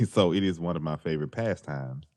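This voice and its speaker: Very deep-voiced man chatting easily. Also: animated, a little mellow. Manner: laid-back, joking, drawn-out phrasing. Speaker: podcast co-host. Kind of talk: casual chat.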